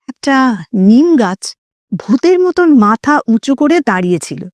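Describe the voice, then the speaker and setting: A woman narrating a literary story in a dramatic, expressive way with deliberate phrasing. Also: on the high side, no room sound, studio quality. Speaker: audio story narrator and host.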